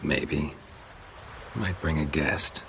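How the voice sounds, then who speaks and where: An actor playing a stoic, dryly wry monster hunter in a video game, speaking in terse, clipped lines. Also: male voice, extremely low pitch, drawn-out words, fairly bright tone, a little hiss or hum, exaggerated voice. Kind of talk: video game cutscene dialogue.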